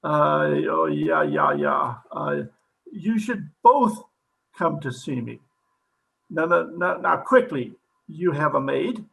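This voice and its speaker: A man playing a gravelly, theatrical psychiatrist. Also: a little high, sing-song, takes it slowly, a bit bright, exaggerated voice. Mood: flustered, officious. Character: theatrical, self-important male psychiatrist. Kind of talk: old-time radio comedy acting.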